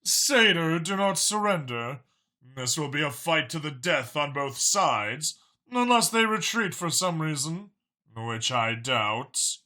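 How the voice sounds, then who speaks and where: A man's voice: on the high side, very animated, slow, pushed and bright, full character voice. A man voicing a calculating, mild-mannered dragon spymaster in an audiobook, articulate, formal and matter-of-fact about killing. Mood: commanding. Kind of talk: audiobook character dialogue.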